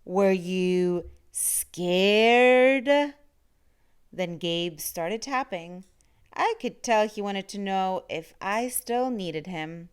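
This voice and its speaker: A woman reading a children's story aloud in an expressive storytelling style. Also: medium pitch, slow, clear, resonant tone.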